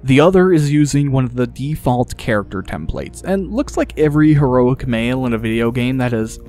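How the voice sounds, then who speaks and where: A man's voice: medium pitch, lively intonation, fairly quick, darkish tone. Guy narrating a fantasy audiobook, midrange voice, bit of music behind him.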